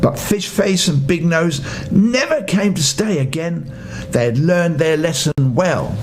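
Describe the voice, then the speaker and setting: Grandfatherly male storyteller, older, fairly high voice, playful and expressive, leisurely pace, slight noise behind. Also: a little slow. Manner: playful, expressive, addresses young listeners. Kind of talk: animated bedtime story reading for children.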